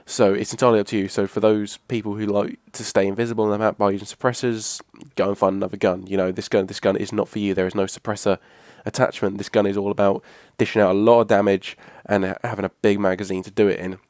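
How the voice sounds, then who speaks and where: A hoarse young man, rambling casually through a video game weapon review. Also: fairly low pitch, flat delivery, quick pace, hardly any pauses, darkish tone, no echo, studio quality. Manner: rambling, restarts a lot, casual swearing. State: hoarse from a cough. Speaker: gaming channel host. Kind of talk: casual gameplay commentary.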